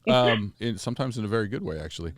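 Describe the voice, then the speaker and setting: Low-voiced joking male podcast host. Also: lively intonation, very fast, dry recording. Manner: joking, digressive, self-mocking. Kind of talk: casual chat.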